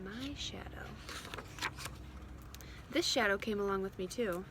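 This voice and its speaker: A woman with a medium-pitched voice speaks as a teacher of young children, in a read-aloud lesson style. She keeps her wording simple and checks in with her listeners. It is recorded outdoors on a propped phone, with very noisy surroundings and vehicle sound behind her. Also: unhurried. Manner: addresses children with simple questions and check-ins. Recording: loud outdoor ambient and vehicle noise.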